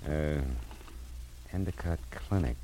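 A man's voice: extremely low pitch, slow, mellow, poor audio. A man with an American accent playing a tough, wry private detective in a 1940s radio crime drama, clipped and hard-boiled. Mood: businesslike. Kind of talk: 1940s radio crime drama acting, first-person narration. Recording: vintage radio recording with music behind.

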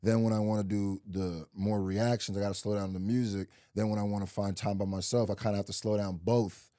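A man's voice: lowish voice, a little fast, no echo, studio quality. A young man from Nebraska, a rapper and YouTuber, chatting as an interview guest in a loose, rambling way full of "like" and restarts. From Nebraska, USA, early childhood in Nigeria. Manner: relaxed, rambling, many likes and restarts. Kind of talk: casual interview chat.

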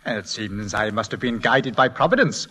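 An actor in an old-time radio drama playing a suave, persistent London art dealer, formal and smooth-talking. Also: male voice, mid-range voice, lively intonation, moderate pace, fairly bright tone. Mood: ingratiating.

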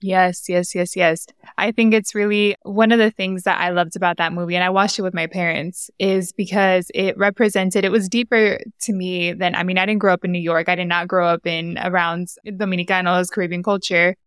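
A woman's voice: lowish voice, fairly even intonation, a little fast, few pauses, a bit bright, clean tone, no echo, studio quality. A young adult woman from San Diego hosting an interview, chatty and enthusiastic, full of "like" and "right?". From United States, San Diego. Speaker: host. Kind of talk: casual interview chat.

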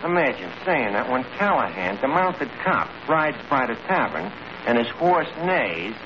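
An actor with a New York accent playing a brash, scheming tavern manager in a radio comedy, wisecracking and mangling big words with mock pomp. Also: male voice, mid-range voice, big pitch swings, measured pace. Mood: indignant. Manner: wisecracking, mock-pompous explanations full of malapropisms. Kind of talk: 1940s radio comedy acting. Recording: noisy, muffled old radio recording.

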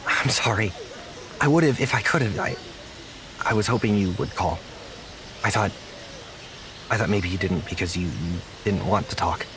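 An actor playing a naive, apologetic young zookeeper in an audio drama, speaking earnestly with halting stammers. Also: male voice, fairly low pitch, noisy background, lightly acted. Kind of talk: naturalistic audio drama acting.

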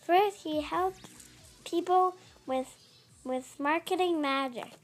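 A young girl carefully introducing her dad's podcast, reading in a halting way. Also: very high-pitched, faint music behind. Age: child. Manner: slow, careful, halting delivery. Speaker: host's daughter introducing the show.

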